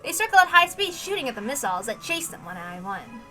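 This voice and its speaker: Bright, high-voiced woman narrating. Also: sing-song, quick pace, soft background music, rough recording. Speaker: reader narrating a visual novel. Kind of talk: expressive dramatic narration.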